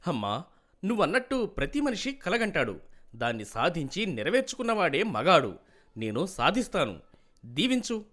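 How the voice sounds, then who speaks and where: A man's voice: on the high side, huge pitch swings, normal speed, a bit bright. A man voicing a determined young village dreamer in a storybook tale, earnest and emphatic. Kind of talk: dramatic storybook character acting.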